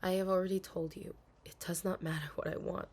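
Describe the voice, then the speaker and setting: A woman's voice: fairly low pitch, level tone, brisk, darkish tone, husky. A woman playing a dutiful, anxious young princess in an intimate audio roleplay, speaking formally with hesitations and sighs. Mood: resigned, guarded. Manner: formal storybook diction, hesitant, sighing.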